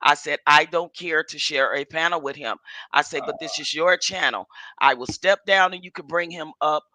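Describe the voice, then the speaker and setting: A woman with a cold and a hoarse voice, speaking emphatically and indignantly as a guest on a casual livestream panel over a video call. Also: deep voice, normal speed, sharp tone, no room sound, low-quality recording.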